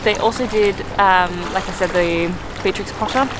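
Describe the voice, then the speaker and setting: Young Australian woman travel vlogger, chatty and bubbly, handheld camera, outdoor noise clearly audible. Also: mid-range voice, normal speed. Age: young adult. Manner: chatty and bubbly, with frequent "like" and laughs. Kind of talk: casual travel vlog. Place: outdoors, in a rowboat on a lake and by a lakeshore. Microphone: handheld camera close to the face. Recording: outdoor wind and water noise.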